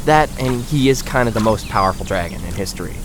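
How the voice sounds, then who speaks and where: An actor playing a young male dragon lord who talks in a gentle, encouraging, slightly formal way. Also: lowish voice, expressive, fast, close to an everyday voice. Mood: gentle teasing. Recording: hiss-laden recording with bird ambience.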